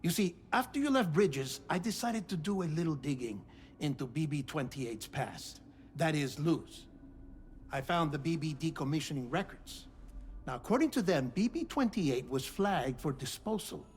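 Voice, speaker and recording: A man acting an earnest, higher-voiced game scientist. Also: darkish tone, a little hiss or hum, natural voice. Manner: deliberate, formal, explanatory. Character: earnest, gentle middle-aged male scientist confiding in a friend. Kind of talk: cinematic video game acting. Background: music.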